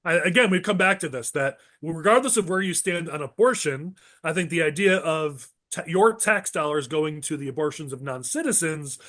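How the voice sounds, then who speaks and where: A male podcast host steers a political panel in a brisk, partisan way. His voice sits a little high and is wearing thin from talking. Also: animated, a bit bright. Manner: brisk, partisan, moves through agenda. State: losing his voice.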